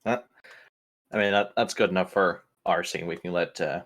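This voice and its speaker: Man, tabletop game player, deep voice, casual talk. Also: steady tone, moderate pace, fairly bright tone.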